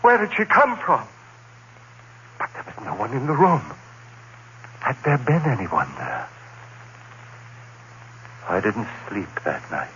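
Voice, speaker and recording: A man playing a terrified condemned prisoner recounting his nightmare in halting, anguished phrases, in the style of 1940s radio horror. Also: lowish voice, sing-song, a bit bright, muffled recording, full character voice. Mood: frantic insistence. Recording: noisy, band-limited old radio recording.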